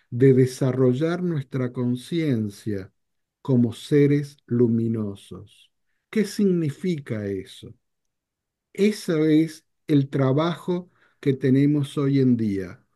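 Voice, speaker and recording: Slow, mellow-voiced older man hosting. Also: medium pitch. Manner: slow, measured, reflective. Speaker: radio show host. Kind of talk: reflective talk and interviewing. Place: home office. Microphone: video call.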